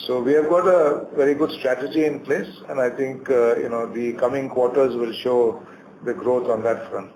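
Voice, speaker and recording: A man's voice: medium pitch, steady tone, moderate pace, muffled recording. A man with an Indian accent speaking as a bank executive, answering questions on an earnings call. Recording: faintly noisy conference call.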